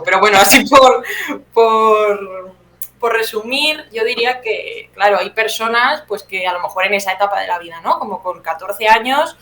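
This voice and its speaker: Woman, 30s, accent from Spain. Conversational, reflective interview guest, lower-pitched voice, recorded in an echoey room. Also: pushed and bright. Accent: Spanish, from Spain. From Teruel, Spain. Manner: conversational, reflective, often checks with tag questions. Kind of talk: casual spoken interview.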